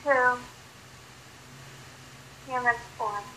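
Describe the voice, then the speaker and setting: A woman giving short, solemn, declarative answers as the subject of a guided visualization, with long pauses between phrases. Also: fairly high pitch, fairly even intonation, pushed and bright, slight background noise, poor audio.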